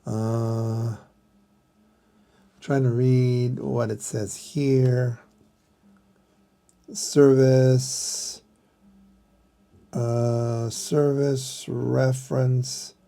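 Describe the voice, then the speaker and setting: A middle-aged man talks through a hands-on demonstration at a slow pace. His voice is relaxed and mellow with plenty of creak, and he speaks haltingly, thinking aloud as he goes. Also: medium pitch. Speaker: vlogger showing a vintage radio. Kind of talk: casual hands-on explainer.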